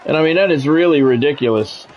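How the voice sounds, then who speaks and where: A man's voice: fairly high pitch, quick pace, a little mellow, a little hiss or hum. An American man doing football play-by-play on a gaming stream, mixed with casual chat. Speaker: gaming streamer calling play-by-play of own game; former radio sports play-by-play announcer.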